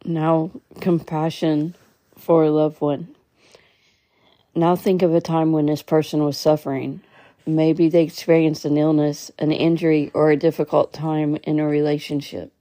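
A woman leading a guided meditation in a soothing, measured way, occasionally stumbling as she reads. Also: low voice, flat delivery, mellow, no echo. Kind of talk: guided meditation, slow instructional reading.

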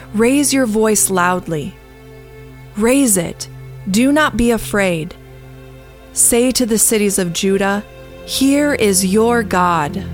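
A woman reading scripture aloud in a measured, solemn manner, with instrumental music behind her. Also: mid-range voice. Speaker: scripture reader. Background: new-age instrumental music.

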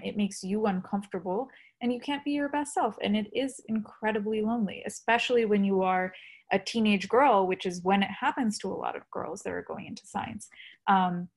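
A woman's voice: mid-range voice, creaky. A woman being interviewed, talking earnestly and reflectively with frequent fillers. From India. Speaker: guest. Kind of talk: conversational interview.

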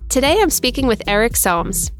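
A woman's voice: on the high side, very animated, moderate pace, soft background music. A woman hosting an interview podcast, friendly and encouraging with her guest. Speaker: podcast host.

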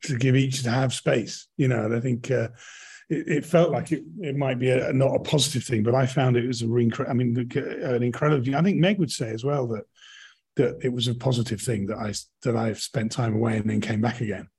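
A man's voice: mid-range voice, steady tone, brisk, darkish tone, husky. A middle-aged British man in casual, reflective conversation, thinking aloud and often rephrasing as he goes. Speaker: podcast guest, actor and director.

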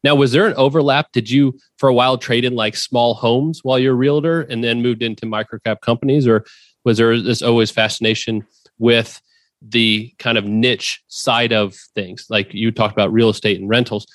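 A man's voice: medium pitch, fairly even intonation, normal speed, no room sound. A man co-hosting a finance podcast, chatting casually and joking. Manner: joking, conversational, summarizing. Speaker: podcast co-host.

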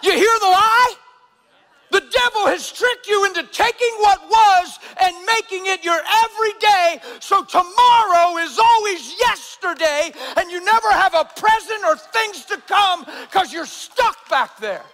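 A man's voice: expressive, measured pace. Fiery preaching man in his fifties. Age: 50s. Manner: builds from low, quiet delivery to shouting intensity. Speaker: pastor. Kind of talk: sermon. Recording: long hall reverb.

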